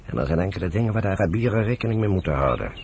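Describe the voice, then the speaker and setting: An actor playing a sober, devout British general in a Dutch radio drama, speaking in a measured, earnest and emphatic way. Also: male voice, low voice, expressive, vocal fry, a little mellow, slight background noise. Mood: grave, emphatic.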